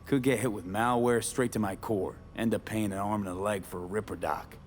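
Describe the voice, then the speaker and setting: A man's voice: fairly low pitch, steady tone, a little fast, slight background noise, close to an everyday voice. A man playing a wary, streetwise cyberpunk mercenary in a video game, with casual, clipped, skeptical delivery.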